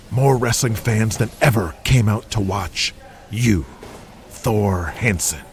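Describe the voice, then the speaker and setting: An actor playing a stern, profane wrestling promoter in an audio drama, a man lecturing with emphatic insistence. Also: low voice. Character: hard-driving, profane male wrestling promoter, stern boss.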